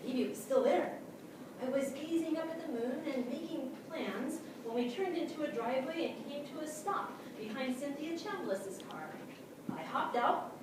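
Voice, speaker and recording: Woman playing an excitable dog storyteller, high-voiced, echoey. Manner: expressive, comic, punchy short phrases. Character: excitable, boastful, easily distracted male dog narrator. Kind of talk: theatrical staged prose reading. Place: small theatre stage, live audience. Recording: echoey theatre from far off, noisy.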